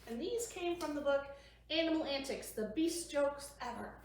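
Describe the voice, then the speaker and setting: A woman's voice: high voice, animated, normal speed. A woman presenting a children's storytime, reading aloud and explaining things simply for young listeners. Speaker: library storytime host. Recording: very echoey room, distant mic, faint noise.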